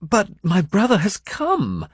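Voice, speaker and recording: An anxious royal lady voiced by a male narrator in a historical audiobook. The voice is raised high and swings expressively. She speaks earnestly and emphatically, with pride and defiance beneath the worry. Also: wildly swooping pitch, slow pace, husky, no echo, studio quality, full character voice. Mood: pleading.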